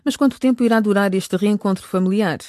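A woman's voice: medium pitch, a little fast, a little mellow, no room sound, clean studio sound. A woman reading scripted narration for a radio drama.

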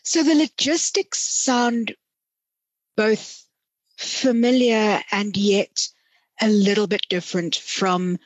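Husky woman interviewer. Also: mid-range voice, fairly even intonation, takes it slowly, no room sound, rough recording. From South Africa. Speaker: podcast host, interviewer. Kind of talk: conversational interviewing.

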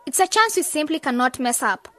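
A woman's voice: high-pitched, expressive, fairly quick, pushed and bright, no room sound. An actress playing an eager, image-conscious young woman in a radio drama. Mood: earnest.

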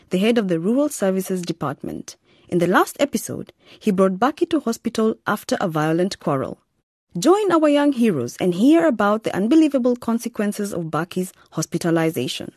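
A woman narrates a radio series from a script. Her delivery is highly animated at a medium pitch, recorded dry and close. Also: dry recording.

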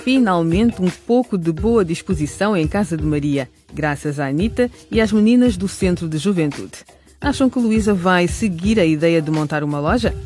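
Um homem narra uma radionovela a partir de um texto, dirigindo-se aos ouvintes. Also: male voice, high voice, animated, moderate pace, a little mellow, soft background music. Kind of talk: scripted radio drama narration.

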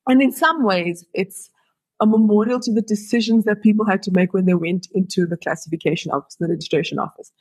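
A woman with a husky, breathy voice, talking through ideas in long, reflective runs before putting a question to her guest. The recording is dry and close, with no room sound. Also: medium pitch, a little fast, a little mellow, no echo, poor audio. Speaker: podcast interviewer. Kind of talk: conversational interview.

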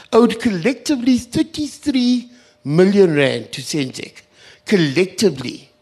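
A South African man speaks on a panel in a high, widely swinging voice. He is indignant and emphatic, with a sarcastic edge. He repeats key phrases to drive them home. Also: a little mellow, slightly rough. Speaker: community radio sector representative on a panel. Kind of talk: impassioned panel speech.

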